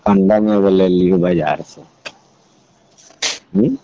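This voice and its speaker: A man's voice: low-pitched, animated, slow pace. A man with a Bihari accent chatting casually on a phone call, explaining things in a colloquial way. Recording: dull lo-fi call recording, clear noise.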